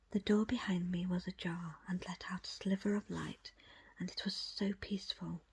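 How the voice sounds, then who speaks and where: A woman whispers a story in an ASMR style, her voice almost entirely breath with very little tone. She reads in the first person as an audiobook reader, hushed and measured, keeping the low-set delivery soft throughout. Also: slightly rough, rough recording.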